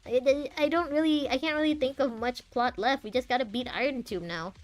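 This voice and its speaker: A woman's voice: high-pitched, a little fast, no room sound. A woman giving casual, chatty livestream commentary while playing a game, giggly and drifting into asides. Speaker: game streamer. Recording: faint game music behind the voice.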